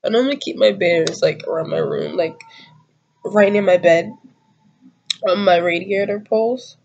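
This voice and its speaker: A woman's voice: lowish voice, moderate pace, creaky, a little mellow, lo-fi sound, slight background noise. A woman in her twenties chatting casually to camera, a bit congested from a cold, giggly and rambling in slangy talk. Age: 20s. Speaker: YouTuber doing a tag video. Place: small room at home, indoors.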